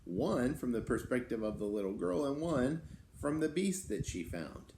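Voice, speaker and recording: A man's voice: medium pitch, big pitch swings, normal speed, a little mellow. A man hosting a children's story time, reading aloud expressively and adding simple explanations and questions for young listeners. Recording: roomy echo, some background noise.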